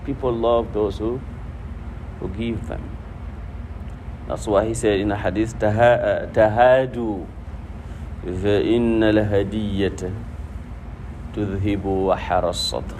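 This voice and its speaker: Man, preacher, lower-pitched, informal teaching style, repeated phrases, clear background noise. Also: slow pace, long pauses. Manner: repeats phrases for emphasis, conversational. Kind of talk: informal religious talk to a congregation, quoting Arabic. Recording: noisy room with steady background hum.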